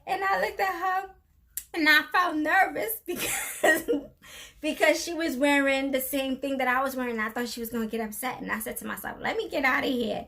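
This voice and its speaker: A woman's voice: high voice, fairly quick, vocal fry, sharp tone. A middle-aged woman co-hosting a casual live stream, chatty and laughing often as she tells stories.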